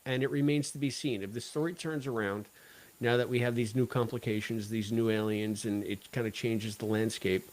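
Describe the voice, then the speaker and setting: A man's voice: lowish voice, fairly even intonation, normal speed, a little mellow, rough recording. A man co-hosting a casual review podcast, talking in a wry, joking way. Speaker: podcast co-host. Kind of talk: casual review chat about an audio drama.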